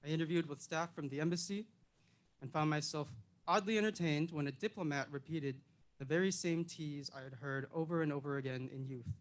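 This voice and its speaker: A young man from Illinois, a program intern, reading a prepared personal speech in an earnest, measured and reflective way. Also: on the high side, poor audio. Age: young adult.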